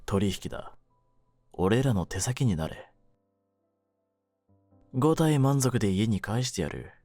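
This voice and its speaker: A man acts out a young mafia kidnapper in a low, cool voice. He talks roughly and deliberately, jaded and domineering with a hidden tenderness. The sound is close and dry, with faint music behind this audio drama. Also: animated, a little mellow, no echo, heavily acted. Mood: commanding. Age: young adult. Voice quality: low-pitched. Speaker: voice actor in a situation voice drama. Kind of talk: Japanese situation-voice audio drama addressed to the listener.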